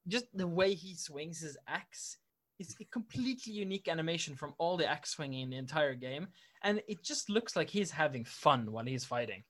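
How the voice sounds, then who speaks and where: A man's voice: a little high, lively intonation, fairly quick, a bit bright. A man in casual conversation, rambling with lots of fillers and restarts, and laughing easily. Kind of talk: casual chat.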